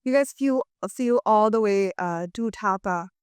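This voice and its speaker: A young woman hosts a panel interview in a clean, dry studio sound. Her voice is clear and sits at a middle pitch. She phrases things haltingly as she moves between remarks and questions. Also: no echo. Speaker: host, interviewer. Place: indoor studio, seated panel on sofas.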